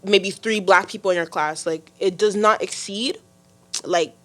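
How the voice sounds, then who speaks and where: A teenage girl with a deep voice, hosting a youth podcast in casual talk, full of fillers and restarts. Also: unhurried, fairly bright tone. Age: teens. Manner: chatty, many fillers and restarts. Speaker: podcast host. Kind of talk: casual discussion.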